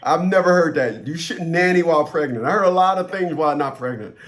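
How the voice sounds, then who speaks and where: A man's voice: fairly high pitch, fast talker. A man hosting a one-on-one coaching conversation, interjecting with instructive remarks and often repeating his opening words. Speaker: host, relationship and family-court coach.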